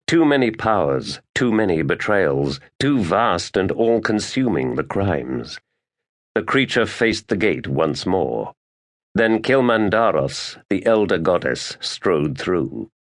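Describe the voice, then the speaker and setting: A man narrating an epic fantasy audiobook in a grave, measured, dramatic storytelling manner. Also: deep voice, big pitch swings.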